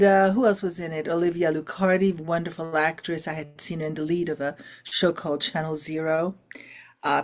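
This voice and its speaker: Woman, middle-aged, low-pitched, expressive, interview guest, casual. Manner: expressive, warm about family, frequent fillers. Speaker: filmmaker and actress being interviewed.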